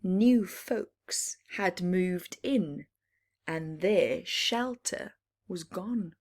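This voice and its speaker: A woman storyteller reading a picture book to young children, expressive and dramatic, with hushed asides that explain the story. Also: mid-range voice, husky.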